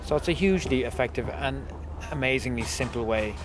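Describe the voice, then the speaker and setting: A man's voice: medium pitch, fairly quick, over loud music. A man giving reflective answers in an interview.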